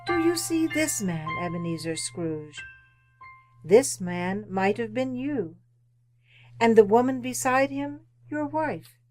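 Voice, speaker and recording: A solemn, unyielding female spirit in a radio play. She speaks very deliberately in a slightly high voice, commanding and grave. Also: lively intonation, darkish tone, soft background music. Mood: solemn, insistent. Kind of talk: old-time radio drama acting.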